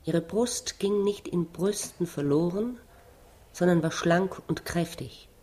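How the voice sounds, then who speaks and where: A woman's voice: on the low side, slow, mellow. A woman reading a literary novel aloud as narrator, with dialogue. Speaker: reader.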